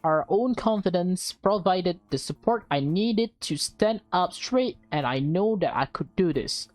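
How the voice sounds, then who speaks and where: Low-pitched woman reading a game aloud. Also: lively intonation, measured pace, darkish tone, no room sound, faint music behind. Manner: stumbles over written words and corrects herself. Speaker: gamer reading a visual novel aloud. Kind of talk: reading game text aloud with casual reactions.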